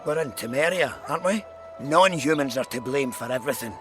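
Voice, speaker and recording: A man's voice: medium pitch, animated, fairly quick, a bit bright, faint music behind, natural voice. An actor playing a bitter townsman in a fantasy video game, sarcastic and declamatory.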